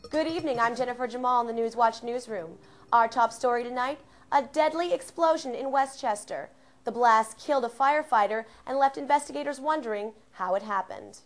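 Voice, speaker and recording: A woman's voice: fairly high pitch, brisk, slight background noise. A young American woman reporting scripted TV news in a formal, enunciated newscaster delivery. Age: young adult.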